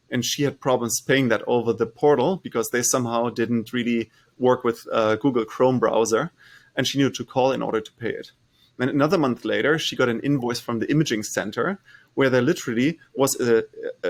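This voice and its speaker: A man from Germany with a German accent, answering interview questions as a startup founder, measured, with frequent fillers and restarts. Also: medium pitch.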